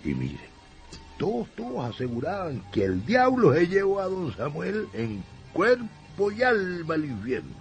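An actor with a Chilean accent playing a folksy storyteller who narrates a radio drama colloquially. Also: male voice, fairly high pitch, huge pitch swings, measured pace, faint music behind, exaggerated voice. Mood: folksy, ominous. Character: folksy male storyteller telling a devil legend.

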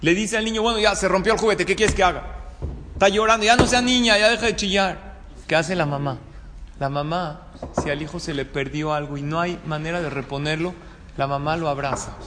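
A Mexican man, a rabbi, giving an informal religious talk in a conversational way, often putting questions to his listeners. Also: high-pitched. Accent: Mexican Spanish. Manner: conversational, checking in with listeners through rhetorical questions. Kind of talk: informal religious sermon with anecdotes. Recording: reverberant hall, clear background room noise.

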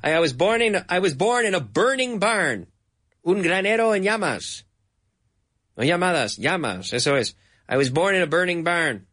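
A Canadian man teaching English in a patient, drilling way, saying each word several times. His voice sits a little higher than most men's. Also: big pitch swings, fairly bright tone. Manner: slow, repeats words for drilling. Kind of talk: bilingual English lesson.

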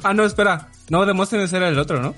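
A man's voice: high-pitched, fast talker, fairly bright tone, slightly rough. A man from Yucatán, Mexico, co-hosting in casual banter with a playfully reluctant, hesitant manner. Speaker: show co-host. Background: background music.

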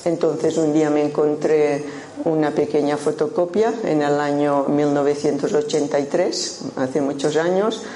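An older woman with a Menorcan Spanish accent speaks very slowly in a soft, mellow voice. She talks to a room as a Buddhist teacher and often stops to think. The recording is roomy, with faint noise behind her. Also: low-pitched, fairly even intonation. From Menorca, Spain. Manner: unhurried, thoughtful, with frequent hesitations. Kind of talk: informal talk to an audience.

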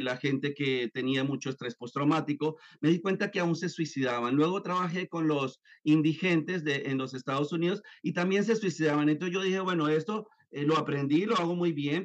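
Colombian man, hesitant, muffled audio. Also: fairly high pitch. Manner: hesitant, many fillers and restarts. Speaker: interview guest, psychologist. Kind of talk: conversational interview. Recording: dull lo-fi sound.

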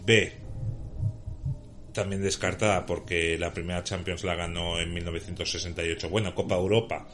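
Man with a very low voice, methodical contestant who reels off dates, music under him. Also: a bit bright. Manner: thorough, reels off dates and scores. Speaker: guest playing contestant. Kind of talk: detailed football trivia answers.

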